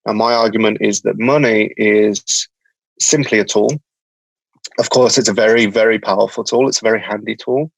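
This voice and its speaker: A man's voice: on the low side, steady tone, normal speed, vocal fry, no room sound, low-quality recording. A man with a Birmingham accent, a company founder talking as a podcast guest, hesitant and full of ums and restarts. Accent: Birmingham English. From England, Birmingham. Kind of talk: casual interview talk.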